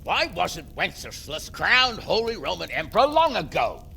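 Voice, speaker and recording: A blunt, sardonic medieval lord in a game cutscene. His voice is gravelly and bright, swinging widely in pitch with wry impatience, and faint noise sits behind it. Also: wildly swooping pitch, normal speed, heavily acted. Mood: impatient. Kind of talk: dramatic video game acting.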